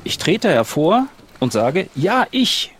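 A man's voice: high-pitched, sing-song, slow pace, fairly bright tone, a little hiss or hum. A man speaking casually as a guest and player in an improvised role-play podcast.

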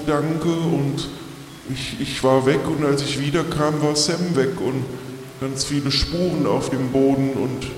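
A man voicing a timid, lonely ghost from a bottle in an improvised role-play, halting and plaintive, repeating words. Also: on the high side, darkish tone. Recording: echoey reverberant room with hiss.

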